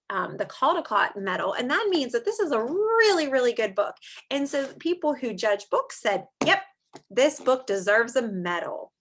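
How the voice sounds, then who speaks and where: A woman in her thirties reading aloud and explaining to young children in an animated, expressive way, like a teacher. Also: fairly high pitch, a little hiss or hum. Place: small furnished room at home, indoors.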